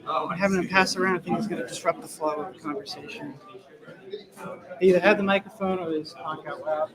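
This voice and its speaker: A man's voice: fairly high pitch, fairly even intonation, fast. A man organizing a developer conference, announcing room changes and sorting out logistics in a casual, conversational way, with filler words. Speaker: event organizer. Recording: echoey room, far from mic, loud crowd chatter.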